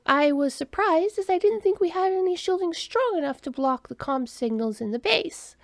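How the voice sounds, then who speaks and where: A stern female alien officer with a very high, piercing voice, as a woman narrator puts her on. She asks sharp, clipped questions that leap up and down in pitch. Her voice is gravelly. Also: animated, normal speed, no echo, studio quality, exaggerated voice. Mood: puzzled. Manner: shrill, clipped and demanding.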